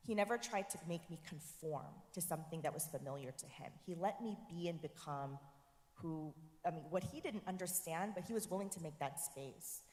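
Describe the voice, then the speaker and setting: A woman's voice: deep voice, a little fast, a little mellow. A woman whose first language is Korean, a professor and forum guest, speaking in an earnest, reflective way and often checking in with "right?". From United States. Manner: earnest, tags points with "right?". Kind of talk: reflective interview conversation. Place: church forum hall. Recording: echoey hall.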